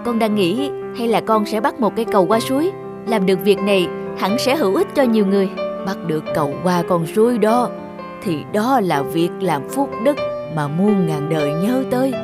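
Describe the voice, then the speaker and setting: A woman's voice: medium pitch, expressive, normal speed, over loud music, lightly acted. A woman voicing an earnest, resolute young village man in a cartoon. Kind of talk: cartoon dubbing.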